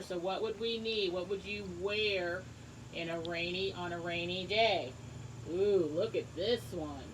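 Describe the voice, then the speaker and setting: A woman teacher reading a book aloud to young children, encouraging them and pausing to ask questions and explain things simply. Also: mid-range voice, slight background noise, low-quality recording. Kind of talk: read-aloud lesson with asides and questions to children.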